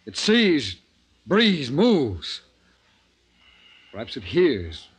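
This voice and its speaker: An actor playing an obsessed, defiant scientist in a 1950s radio drama, intense and halting. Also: male voice, mid-range voice, wildly swooping pitch, slightly rough, heavily acted. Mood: feverish wonder. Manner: intense, halting, broken phrases. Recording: noisy 1950s radio broadcast recording.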